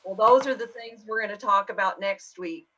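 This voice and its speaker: A woman on a video call previewing an upcoming talk, friendly and encouraging, pausing to think. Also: mid-range voice, fairly bright tone, muffled recording. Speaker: co-presenter announcing next session.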